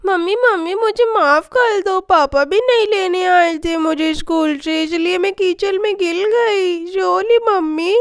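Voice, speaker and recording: A woman's voice: very high voice, measured pace, hardly any pauses. A woman voicing a mischievous little schoolgirl in a children's story, whiny and pleading with a babyish lisp.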